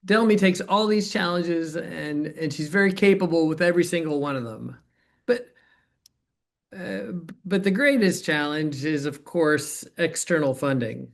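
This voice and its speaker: A man's voice: a little high, a little slow, gravelly. A man acting a hesitant, earnest program director. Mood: earnest, concerned. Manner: hesitant, stammering starts. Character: earnest program director named Bob, near retirement, appreciative. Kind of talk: dramatized dialogue, natural conversation.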